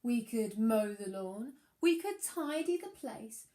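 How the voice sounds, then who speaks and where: A woman voicing an eager, excitable young boy in a children's storybook, with an exclamatory delivery. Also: on the high side, takes it slowly, roomy sound. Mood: bored, suggesting. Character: bored, excitable young boy planning adventures with his pet dinosaur.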